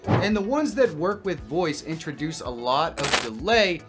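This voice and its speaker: A man in his fifties presenting a software tutorial as a video creator, explaining in a casual, direct way. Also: fairly high pitch, animated, measured pace. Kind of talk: tutorial narration to camera and screen. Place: small indoor home studio. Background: music.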